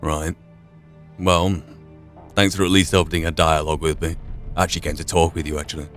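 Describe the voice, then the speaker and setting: A man giving measured, reflective commentary and reading aloud during a video game playthrough. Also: rumbling low, level tone, fairly bright tone, soft background music. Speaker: gaming channel player.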